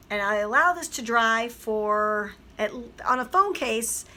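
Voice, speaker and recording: A hoarse woman, a teacher, chattily explaining a craft project step by step. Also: on the high side, expressive, slow, pushed and bright. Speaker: craft tutorial host. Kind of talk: craft tutorial voice-over.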